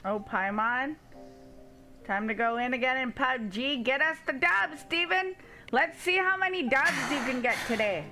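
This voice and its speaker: Female streamer: highish bright voice, slow casual chat, soft game music behind.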